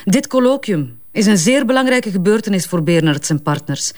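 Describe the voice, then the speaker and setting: A woman acts out a self-assured Flemish advertising strategist in a crime drama. She answers police questions briskly and candidly, with small hesitations. When pressed she turns defensive, but the delivery stays natural. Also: mid-range voice, lively intonation, darkish tone, natural voice. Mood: composed, firm.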